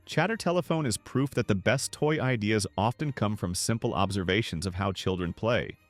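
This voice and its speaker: A man reading a scripted, nostalgic documentary narration in a measured, polished way. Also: fairly low pitch, no echo. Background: background music.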